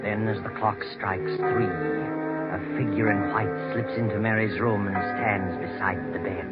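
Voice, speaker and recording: Loud music swells under a low-voiced American man narrating radio suspense. The delivery is scripted 1940s storytelling, captured on a dull vintage recording. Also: steady tone, a little slow. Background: loud dramatic music.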